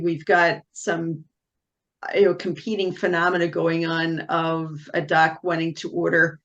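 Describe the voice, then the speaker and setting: A woman's voice: lowish voice, dull audio. A woman speaking as a panelist over a video call, thoughtful and candid with a wry edge. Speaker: panelist, genetics expert. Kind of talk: panel discussion remarks.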